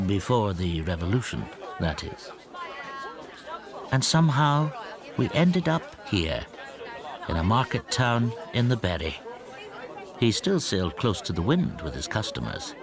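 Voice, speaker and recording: A male actor playing an anxious, timid shopkeeper in a radio drama, speaking in a hesitant, confiding and introspective way. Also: lowish voice. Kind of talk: radio drama monologue and dialogue.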